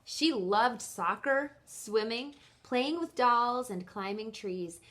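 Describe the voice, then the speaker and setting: Lady teacher reading a picture book to kids, slow n deliberate, bright forward voice, lots of emphasis. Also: a little high, animated. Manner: deliberate, storytelling emphasis for young listeners. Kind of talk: expressive children's picture-book read-aloud.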